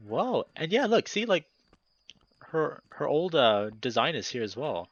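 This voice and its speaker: A man hosting a let's play, reading a visual novel aloud in steady narration with animated, jokey asides. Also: fairly high pitch, measured pace, no room sound, muffled recording.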